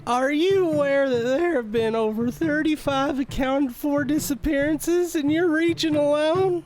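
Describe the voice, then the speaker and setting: A man's voice: extremely high pitch, a little mellow, soft background music. An actor playing a newly promoted male detective, earnest and a little proud. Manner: earnest, slow, a bit proud. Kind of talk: audio drama acting.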